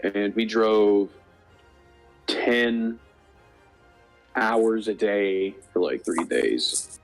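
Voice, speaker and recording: A man's voice: lowish voice, drawn-out words, faint music behind. A young man in casual chat as a co-host, joking in a crude way.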